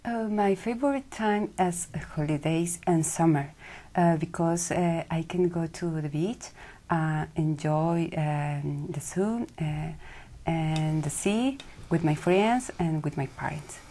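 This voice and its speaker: A woman student answers exam questions with many hesitant fillers. Her voice sits on the low side, and she speaks slowly. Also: mellow. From Ecuador.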